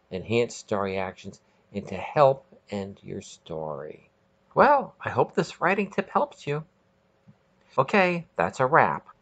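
A man's voice: lowish voice, dull audio. A man hosting and narrating a scripted short story podcast in a dramatic, emphatic way.

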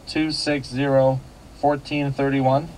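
A man's voice: mid-range voice, flat delivery, a little slow, darkish tone, slight background noise, poor audio. A man hosting a local radio classifieds call-in show, politely repeating details back. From United States.

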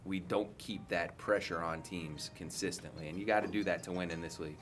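A man's voice: low-pitched, steady tone, brisk, music in the background. A man in his forties, a head coach, giving candid, rambling post-game answers full of restarts, seated at a table mic in an indoor press room.

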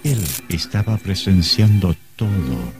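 A man with a very deep voice narrates a horror radio drama. His delivery is scripted and dramatic, with music behind him. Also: very animated, takes it slowly.

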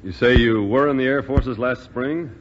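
An actor playing a measured, encouraging air force captain in a 1940s radio drama. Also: male voice, medium pitch, music in the background, close to an everyday voice. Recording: muffled 1940s radio recording.

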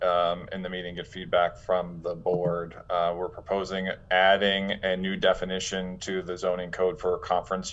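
A man's voice: sits low, a bit bright. A man presenting a zoning proposal to a planning board in a plain, businesslike way. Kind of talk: presenting a proposal at a public meeting. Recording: dull lo-fi video call audio.

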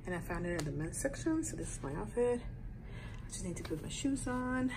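A woman in her forties chatting casually for a vlog, pausing often between short phrases, recorded on a phone indoors at home. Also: medium pitch, animated. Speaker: vlogger, host. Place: small rooms at home, indoors. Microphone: phone at arm's length. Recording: noticeable background room noise.

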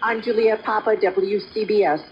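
A woman reports scripted news in a mid-pitched voice. It arrives over dull AM radio with faint noise. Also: fairly even intonation, moderate pace.

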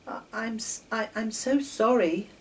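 A woman's voice: on the high side, slow pace, a little mellow, distant mic, voice pushed up, poor audio. A woman voicing a proud new male steam engine who is eager to impress, storybook style. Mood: sorry. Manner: boastful, then stammering apology.

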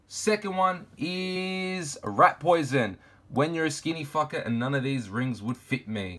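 A man of twenty-two, vlogging casually to camera in his bedroom, laid-back and slangy, his voice deep from just waking up. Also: pushed and bright. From Argentina, raised in Australia. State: deep morning voice, just woke up. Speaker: fashion YouTuber. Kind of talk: casual vlog Q&A. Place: bedroom with shoe shelves, indoors.

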